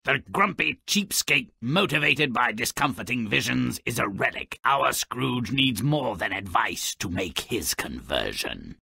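A man voicing a mischievous, grumpy little creature as a sardonic video game narrator, with a gravelly, raspy voice and a mocking, grandiloquent manner. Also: medium pitch, animated, a little slow, few pauses, pushed and bright, dry recording, full character voice. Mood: cynical musing. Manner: mocking, grandiloquent, relishing misfortune.

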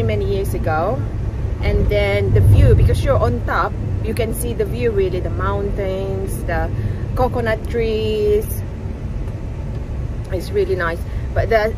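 A woman in her forties chats casually to her viewers in a friendly, easygoing way. Her medium-pitched voice is held close on a phone. Steady road and car noise runs behind her as the van drives. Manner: chatty, friendly greetings to viewers. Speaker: travel vlogger. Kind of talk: casual vlog chat to camera. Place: inside a moving van. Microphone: phone held at arm's length.

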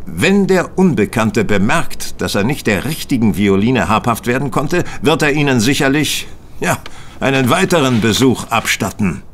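A man's voice: mid-range voice, big pitch swings, moderate pace, slight background noise, natural voice. An actor playing a confident, methodical male detective in an audio drama, lecturing with precise articulation. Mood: assured, explaining.

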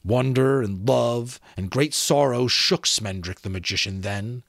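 A man in his thirties reads a fantasy novel aloud as an expressive, dramatic audiobook narrator, close to a desk microphone in a small room. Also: mid-range voice, measured pace, gravelly, dry recording. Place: small home room with sloped ceiling, indoors.

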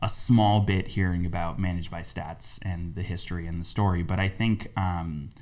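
A man's voice: deep voice, steady tone, normal speed, a little mellow. A man in his thirties hosting a casual podcast, loose and friendly with frequent ums, recorded on a desk mic in a small studio. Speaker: podcast host. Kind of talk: casual interview chat. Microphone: desk mic on boom arm.

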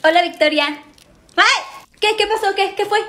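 High-voiced young woman acting a sketch. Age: young adult. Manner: lively, quick character switches. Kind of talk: comedy sketch playing several characters. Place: small indoor room at home.

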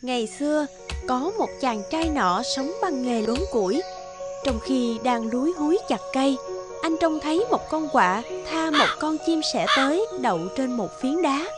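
A woman's voice: sits high, animated, moderate pace. A woman narrating a scripted children's folk tale as a storyteller. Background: music behind the voice.

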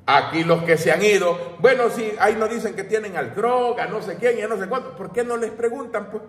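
A man's voice: high-pitched, a little fast, fairly bright tone. A middle-aged Salvadoran man giving a brash, slangy, emphatic opinion rant in an informal style. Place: small home room with shelves, indoors. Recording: roomy echo, distant mic.

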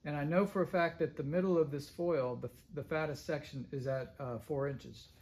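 A man's voice: fairly high pitch, steady tone, moderate pace, a little mellow, husky. A middle-aged man casually narrating his boat build in a woodworking shop, thinking aloud with plenty of fillers, recorded on a handheld phone. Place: large indoor woodworking shop.

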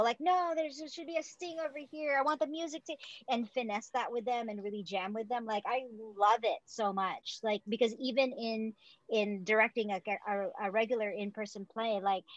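A woman theatre director talking casually on a video call from home, animated and full of fillers, laughing often. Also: on the high side, moderate pace, no room sound, muffled recording. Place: home room, indoors. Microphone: laptop webcam on video call.

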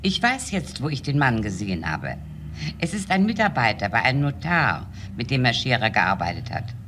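An actress playing an earnest housekeeper giving a deliberate witness report in a crime radio play. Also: female voice, rumbling low, sing-song. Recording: faint background noise.

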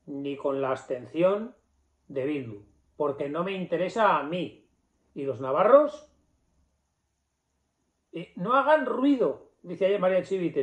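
Indignant older man, echoey room. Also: fairly high pitch. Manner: indignant, emphatic, with rhetorical questions and repetition. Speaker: political commentator. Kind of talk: opinionated monologue to camera. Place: small office, indoors. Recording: echoey room, slightly dull sound.

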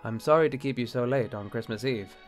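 An actor playing a proud, jealous middle-aged bishop in a radio-style drama, curt and indignant. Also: male voice, mid-range voice, brisk, soft background music, close to an everyday voice. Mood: clipped, formal. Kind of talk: radio-play style acting.

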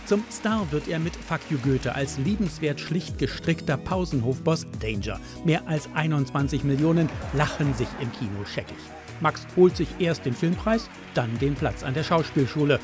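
A man's voice: fairly high pitch, animated, normal speed. A man reading a scripted, wry voice-over for a television profile. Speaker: voice-over narrator. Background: music bed.

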